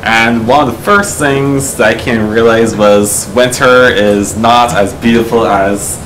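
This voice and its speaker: A man from Barbados giving a relaxed, conversational practice speech about moving from island life. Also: mid-range voice, a little slow. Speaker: speech trainee. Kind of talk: practice self-introduction speech. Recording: echoey room with noise.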